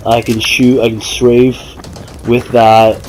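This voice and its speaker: A man's voice: medium pitch, flat delivery, slow, darkish tone, a little hiss or hum, poor audio. A man giving casual gameplay commentary, explaining things in a hesitant, self-correcting way. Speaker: gaming video maker. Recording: voice over faint game music and gunfire.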